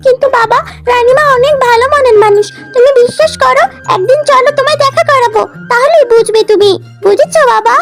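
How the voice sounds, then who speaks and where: Very high, pleading little girl. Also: brisk. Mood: earnest. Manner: whiny, earnest, childlike. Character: pleading, stubborn, affectionate young village girl. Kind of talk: children's fairy-tale cartoon acting. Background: music.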